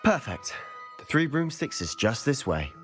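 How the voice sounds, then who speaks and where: A man's voice: medium pitch, sing-song, unhurried, soft background music. A man playing a friendly, wry, chatty schoolboy companion in a video game. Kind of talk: video game character acting.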